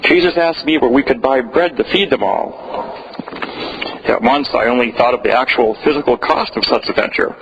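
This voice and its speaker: A man's voice: mid-range voice, close to an everyday voice. A man acting an awed, questioning disciple of Jesus in an earnest, rhetorical church stage monologue. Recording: dull lo-fi church recording, clear noise.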